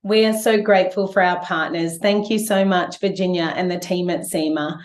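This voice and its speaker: A woman's voice: on the low side, fairly even intonation, moderate pace, echoey room. A woman presenting awards in a polished, upbeat scripted announcing style.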